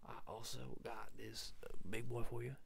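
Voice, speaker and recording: A man doing an ASMR salesman roleplay in a breathy whisper and murmur, rambling and repeating himself in a folksy, halting sales patter. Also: medium pitch, little pitch movement, noisy background.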